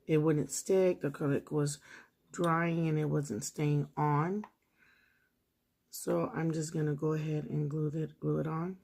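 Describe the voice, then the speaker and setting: A woman's voice: sits low, a little mellow. A woman losing her voice, chatting casually with viewers while she does a nail tutorial at a table at home. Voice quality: husky, gravelly. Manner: chatty, talks straight to viewers while working.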